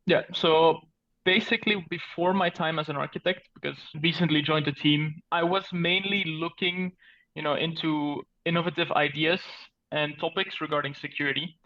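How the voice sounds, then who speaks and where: A man's voice: fairly high pitch, fairly even intonation, moderate pace, bright, forceful tone, lo-fi sound. A man from Belgium, a guest on a tech podcast, explaining things in an earnest way with frequent "so" and "basically". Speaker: podcast guest, security architect. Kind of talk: casual technical interview.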